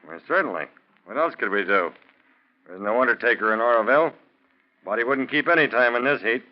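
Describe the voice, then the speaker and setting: Man acting as country sheriff, medium pitch, folksy, businesslike, musing, muffled vintage radio recording. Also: expressive, close to an everyday voice. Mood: matter-of-fact. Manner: folksy, musing, brisk about grim business. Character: unhurried, matter-of-fact small-town desert sheriff. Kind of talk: 1940s radio drama acting. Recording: muffled 1940s radio broadcast.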